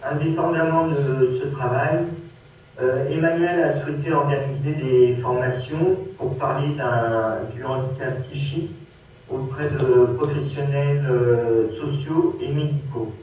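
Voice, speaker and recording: A man with a Parisian French accent introduces himself to a group in a hesitant, halting and apologetic way. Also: medium pitch, steady tone, a little mellow. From Paris, France. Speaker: workshop speaker, peer research assistant. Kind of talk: personal testimony to a group. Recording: echoey, distant conference-call sound with noise.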